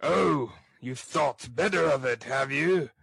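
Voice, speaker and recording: A man's voice: a little high, slow pace, creaky, fairly bright tone, no echo, voice pushed up, heavily acted. A man voicing a brutal, ill-tempered housebreaker in a story, growling, barking and impatient. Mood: sneering, angry.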